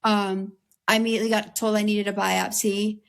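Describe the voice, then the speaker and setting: A young American woman, a guest in an interview, speaking candidly in an earnest, conversational way with a slightly raspy voice and many "like"s and "um"s. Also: mid-range voice, level tone, moderate pace. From United States. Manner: earnest, heavy use of "like" and "um".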